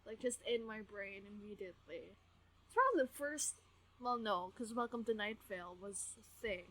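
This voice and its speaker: A woman in her twenties chatting casually as a podcast co-host, gushing, excitable and quick to swear. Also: medium pitch, rough recording. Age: 20s. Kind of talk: casual fan podcast chat.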